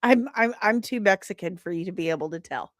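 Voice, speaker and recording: A woman hosting a casual livestream, talking in an animated, rambling and self-deprecating way. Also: medium pitch, a little fast, no room sound, clean studio sound. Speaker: livestream host.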